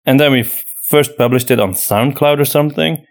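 Male podcast co-host, Flemish accent, native Dutch. Medium pitch, conversational delivery, restarts phrases while thinking. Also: brisk. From Flanders, Belgium. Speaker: podcast co-host and audio drama writer. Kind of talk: casual conversational Q&A.

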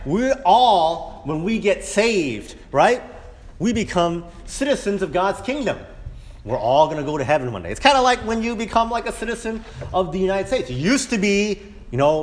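A man preaches in an easy, conversational manner, often tagging his points with a quick "right?" His voice sits a little higher than most men's. It rings out with a long reverb tail, as if filling a church hall. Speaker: pastor. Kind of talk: sermon.

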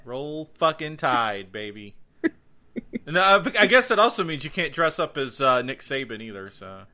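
A man's voice: mid-range voice, sing-song, normal speed, bright, forceful tone. A man co-hosting a podcast in casual comedic banter, with a profane, sarcastic, ranting manner. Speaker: podcast co-host.

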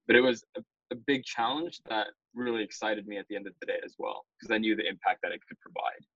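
A young man with a low voice, a podcast guest who explains in long, orderly sentences. The sound is dry and a little dull, with no room around it. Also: fast, fairly bright tone, no echo. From Malaysia. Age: young adult. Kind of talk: podcast interview.

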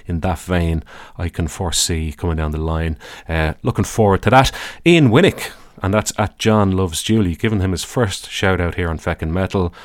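A man's voice: low-pitched, no echo. A man with an Irish accent and a soothing voice, hosting a podcast in an easygoing, rambling monologue with dry, self-deprecating humour. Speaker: podcast host. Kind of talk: casual solo podcast monologue.

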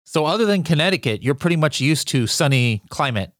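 A man's voice: a little high, lively intonation, brisk, dry recording, clean studio sound. A man hosting a podcast interview, upbeat and jokey, with frequent fillers. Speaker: podcast host. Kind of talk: casual interview chat.

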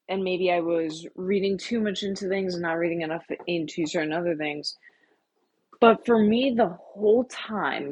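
Female podcast co-host, lower-pitched with some rasp, informal conversational book chat. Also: a little mellow. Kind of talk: casual book discussion.

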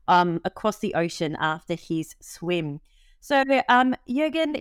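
A woman hosting an interview in a casual, enthusiastic way, often filling pauses with ums and likes. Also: mid-range voice, clear, resonant tone, no echo, studio quality. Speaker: interview host.

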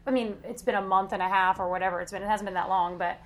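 An American woman chatting casually as a podcast guest, playful and quick to giggle. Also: medium pitch, fairly even intonation, fairly bright tone. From United States, grew up in Las Vegas. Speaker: podcast guest, web show co-host. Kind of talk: casual chat.

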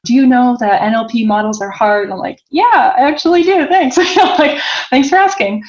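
A woman's voice: high-pitched, normal speed, darkish tone. American woman, conversational, on lo-fi audio. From United States (Boston). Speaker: interview guest, tech entrepreneur. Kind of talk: casual interview talk.

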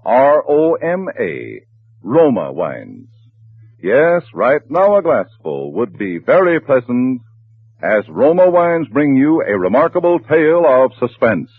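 A man reading a polished advertisement as a 1940s radio announcer, with a husky voice. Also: mid-range voice, sing-song, slow. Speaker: commercial announcer. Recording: tinny, echoey 1940s radio broadcast.

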